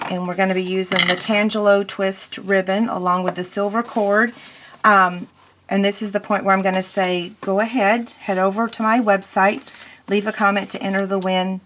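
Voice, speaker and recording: A woman demonstrating paper crafts in a casual tutorial, her voice squeaky from strain, chatting through each step with ums. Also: background noise.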